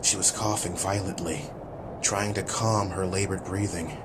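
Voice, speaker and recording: A man's voice: low voice, fairly even intonation, unhurried, very noisy. A man reading scripted, dramatic action-fantasy narration as an audiobook narrator.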